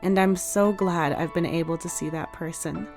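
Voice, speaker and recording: A woman voicing a supportive young sister in a storybook, soft-spoken, reassuring and a little teasing. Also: fairly low pitch, steady tone, moderate pace, lightly acted. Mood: playful. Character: supportive older sister, young, playful and tender. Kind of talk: dramatic audiobook character dialogue. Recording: music behind the voice.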